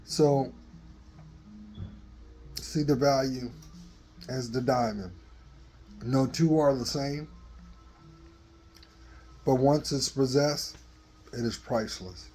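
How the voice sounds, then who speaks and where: A man in his fifties with a dry voice, giving a slow, earnest motivational talk into a phone in a garage. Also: medium pitch, darkish tone, soft background music. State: dry voice, coughing. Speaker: vlogger. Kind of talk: motivational selfie vlog. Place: open garage, daytime. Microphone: phone at arm's length.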